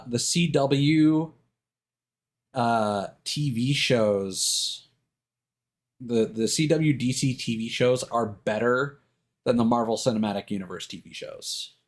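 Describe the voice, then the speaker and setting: A man chatting casually as a live streamer, rambling and thinking aloud with hesitant self-corrections. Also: medium pitch.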